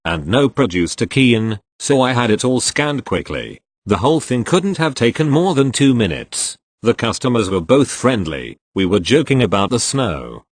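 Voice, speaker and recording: A man reads customer-service stories aloud in a low voice that swings widely in pitch. The sound is close and dry, with no room sound. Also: no room sound. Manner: expressive reader voicing each character in turn. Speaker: narrator reading online posts. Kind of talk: scripted storytelling narration.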